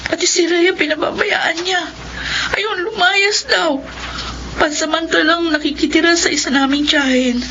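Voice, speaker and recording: A woman's voice: extremely high pitch, fairly even intonation, measured pace. An actress playing a self-sacrificing young woman working abroad for her siblings, tearful and reproachful in an emotional Filipino radio drama. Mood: distressed. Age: young adult. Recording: muffled, noisy lo-fi radio broadcast.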